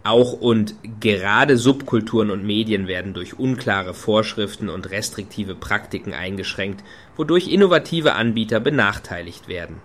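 An actor playing a wry, playfully high-minded club activist in a radio play, dry and mock-solemn. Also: male voice, normal speed, hardly any pauses, fairly bright tone, a little hiss or hum, natural voice. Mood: declamatory. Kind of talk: German radio play acting.

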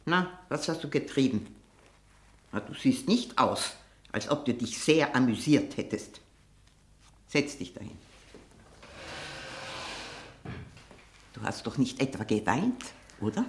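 An actress playing a haughty, sharp-tongued old aunt in a 1960 German radio drama, probing and disdainful, living on her memories. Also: a bass voice, lively intonation, measured pace. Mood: brusque, probing.

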